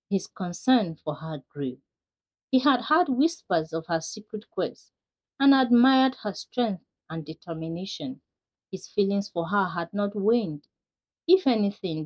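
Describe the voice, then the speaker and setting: A woman's voice: mid-range voice, darkish tone. A woman storyteller reading a scripted folktale narration. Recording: dull, lo-fi sound.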